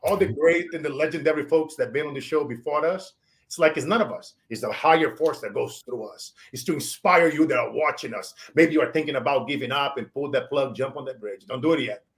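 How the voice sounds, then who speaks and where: A man in his forties with a Brazilian accent, hosting a show and giving emphatic motivational shout-outs. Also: medium pitch, fairly quick. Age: 40s. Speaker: show host. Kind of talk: motivational interview wrap-up.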